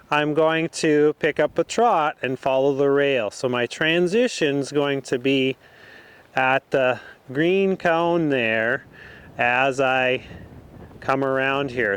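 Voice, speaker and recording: A man giving calm, explanatory riding instruction as he rides in an outdoor arena. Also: fairly high pitch, clean tone, no echo. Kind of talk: instructional commentary while riding.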